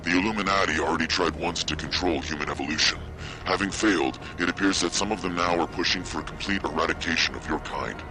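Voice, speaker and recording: An actor as a deep, grave, secretive man. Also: lightly acted. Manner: measured, formal. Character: secretive, grave male conspiracy-watcher, measured and formal. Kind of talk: video game cutscene acting. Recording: music behind the voice.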